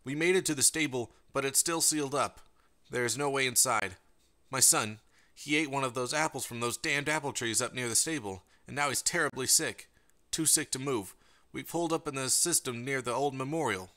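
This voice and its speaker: A man's voice: medium pitch, normal speed, sharp tone, voice pushed up, close to an everyday voice. A man voicing a desperate, weary pony parent pleading for help in a radio distress broadcast within an audiobook story. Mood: resigned pleading. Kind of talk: dramatic audiobook character reading.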